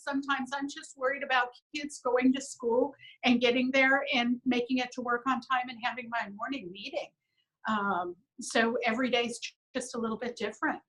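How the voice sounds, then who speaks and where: Upbeat middle-aged woman, high bright voice, chatty interview guest on a video call. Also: poor audio. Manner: upbeat, earnest, quotes others in lively voices. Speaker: business owner, interview guest. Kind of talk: casual conversational interview.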